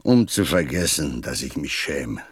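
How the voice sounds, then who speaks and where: A melancholy drunkard in a radio play, full of shame. He answers tersely and morosely in an extremely low, rough-edged voice. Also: lively intonation, measured pace, dry recording, studio quality, heavily acted. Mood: gloomy, ashamed. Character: gloomy, ashamed drunkard sunk in melancholy. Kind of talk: German radio play acting.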